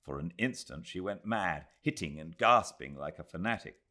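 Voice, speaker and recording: A British man narrates classic fiction in a low-pitched voice. His reading is expressive and moves through a wide, animated range of pitch. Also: normal speed. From Britain. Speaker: audiobook narrator.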